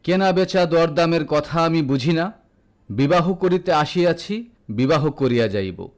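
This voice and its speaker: A man's voice: a little high, animated, a little slow. Man voicing a defiant young bridegroom.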